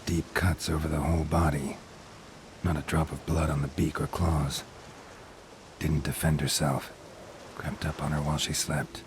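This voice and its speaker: A very deep-voiced, gruff monster hunter from a video game, terse and world-weary. He barely varies his tone, murmuring deadpan in clipped sentences. Steady rain noise sits behind the gravelly voice. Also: normal speed, long pauses. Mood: grim, observing.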